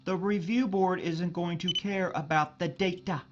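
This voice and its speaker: A man's voice: high-pitched, steady tone, measured pace, lo-fi sound, slight background noise. A man acting a blunt, exasperated spaceship crewman in a science fiction audio drama, informal and sarcastic. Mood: incredulous.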